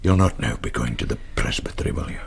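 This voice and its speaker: Very deep, gravelly old-world Scottish minister. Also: male voice, animated, quick pace, a little hiss or hum, heavily acted. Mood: consoling. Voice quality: gravelly, husky. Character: frail, kindly Scottish minister, loyal and humble. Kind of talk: radio drama acting.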